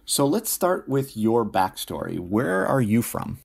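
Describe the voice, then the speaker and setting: A man hosting a podcast, reading a measured scripted introduction and then asking interview questions. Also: mid-range voice, very animated, gravelly, darkish tone, breathy edge. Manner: measured, announcer-like delivery. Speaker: podcast host.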